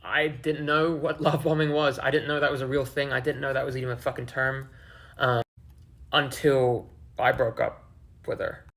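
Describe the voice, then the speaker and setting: A man in his twenties records a personal vlog for his viewers. His mid-range voice has a noticeable gravelly fry. He speaks candidly about himself, with casual swearing. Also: medium pitch, level tone, normal speed, fairly bright tone. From several countries including the Philippines, Australia and the UK. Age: 20s. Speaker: online video creator.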